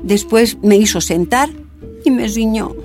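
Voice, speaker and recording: Actress, first-person narration, slightly high, quiet. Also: female voice, a little slow, faint music behind. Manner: quiet recounting. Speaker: narrator of her own story.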